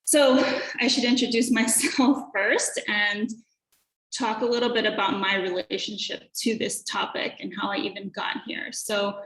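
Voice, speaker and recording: A woman in her thirties from Hawaii giving a thoughtful academic presentation, pausing often with fillers. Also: medium pitch, steady tone, a bit bright. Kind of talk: conference presentation with slides. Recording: echoey room.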